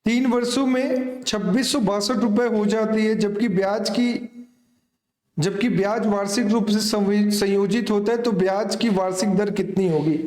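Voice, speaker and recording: Very high-pitched man teaching, echoey room. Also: steady tone, moderate pace, a little mellow. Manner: repeats phrases, addresses students affectionately, asks rhetorical check questions. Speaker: maths teacher for exam coaching. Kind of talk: online maths lesson, explaining step by step to students.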